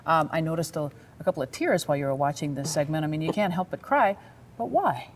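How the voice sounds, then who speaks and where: A woman presents a local TV show as its host, in a conversational way. Her voice is very low for a woman. A little noise sits in the background. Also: lively intonation, fast talker.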